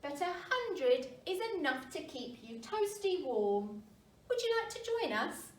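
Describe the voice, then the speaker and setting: Woman as a proud, friendly penguin, high-pitched. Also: sing-song, unhurried. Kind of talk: children's storybook read aloud. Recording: roomy, distant mic, faint noise.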